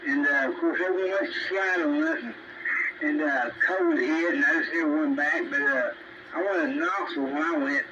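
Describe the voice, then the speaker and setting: A man's voice: on the high side, huge pitch swings, moderate pace, vocal fry. A man with a Tennessee Southern accent chatting informally and talkatively over a CB radio. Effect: two-way CB radio transmission. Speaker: CB radio operator. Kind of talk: casual radio chat. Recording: muffled, distant, very noisy CB signal.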